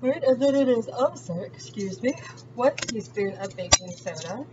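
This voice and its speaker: High-voiced woman chatting casually while cooking. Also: animated, moderate pace. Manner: informal, thinking aloud. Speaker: home cook hosting a cooking vlog. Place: home kitchen, indoors. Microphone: camera on the counter, at a distance. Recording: distant, dull sound with loud background noise.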